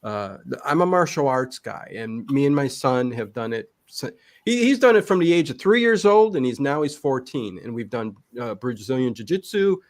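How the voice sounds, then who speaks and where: A man's voice: mid-range voice, very animated, normal speed. A middle-aged man chatting casually as an interview guest, talking emphatically and repeating words to stress his points. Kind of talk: casual chat.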